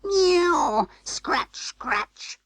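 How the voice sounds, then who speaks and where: Grumbly hungry storybook cat, as a narrator does it, high and whiny, drawn out mews, swoopy. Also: very animated, fairly bright tone, no room sound, full character voice. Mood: demanding, mewing. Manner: drawn-out, plaintive mewing and sighing. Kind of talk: storybook character voice.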